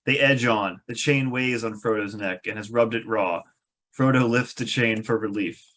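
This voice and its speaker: A man's voice: lowish voice, moderate pace, a bit bright. A man reading stage directions as the narrator of a scripted table read.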